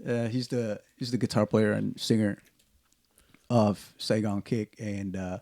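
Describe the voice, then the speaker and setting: A man with an Indonesian accent hosting a casual podcast chat, talking in halting starts with many restarts. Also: fairly low pitch, steady tone, mellow, dry recording, clean studio sound. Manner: halting, many restarts and fillers, laughs often. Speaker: podcast host.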